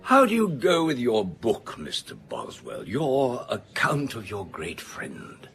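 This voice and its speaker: A man's voice: medium pitch, wildly swooping pitch, slow, creaky, a bit bright, faint music behind, full character voice. An actor playing a curious, blunt king in a radio drama, stately and clipped. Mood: regal, inquisitive.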